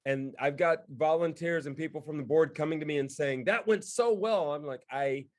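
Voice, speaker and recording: Male podcast co-host, slightly high voice, casual, anecdotal, chatty. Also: normal speed.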